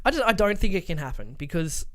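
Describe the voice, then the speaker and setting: Male podcast co-host, slightly high voice, emphatic, swears freely, casual and opinionated. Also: very animated, fast, fairly bright tone.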